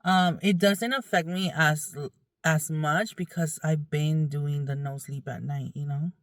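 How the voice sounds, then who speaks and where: A woman's voice: low-pitched, steady tone, measured pace, no room sound. Woman chatting casually, very dry sound. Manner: rambling, candid, conversational. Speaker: vlogger. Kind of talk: casual chat to viewers.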